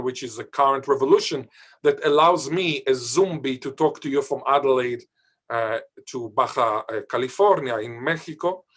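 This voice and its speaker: A man, a Hebrew speaker, gives an academic seminar over a video call. He is lively and emphatic and often pauses with filler sounds. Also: medium pitch, husky. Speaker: guest lecturer on language revival. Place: home or office, far from the audience.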